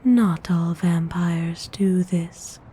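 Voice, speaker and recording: Woman acting a slow, whispering vampire noblewoman. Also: on the low side, fairly even intonation, mellow, slight background noise. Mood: reassuring, intimate. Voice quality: breathy, whispery. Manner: slow, soothing, tender, deliberate. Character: gentle, seductive, courteous female vampire noble. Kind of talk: intimate ASMR roleplay. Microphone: close microphone.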